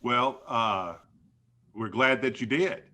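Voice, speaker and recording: A man's voice: medium pitch, slow, bright, forceful tone. A man hosting a podcast interview, asking questions with a hesitant delivery full of ums and uhs. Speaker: podcast host.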